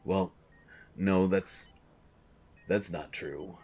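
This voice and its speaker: Man voicing a grumpy male coach, very deep voice, husky, slow grumbling delivery. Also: fairly even intonation, mellow, full character voice. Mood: uneasy. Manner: grumbling, exasperated complaints. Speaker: voice actor. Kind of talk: audio drama monologue.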